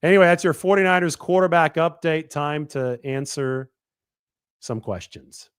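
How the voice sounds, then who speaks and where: A man in his thirties talking through a football analysis on his own show, quick and analytical, often restarting and correcting himself mid-sentence. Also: a little high, clean tone, no room sound, clean studio sound. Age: 30s. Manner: quick analytical delivery with frequent self-corrections. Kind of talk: sports analysis monologue.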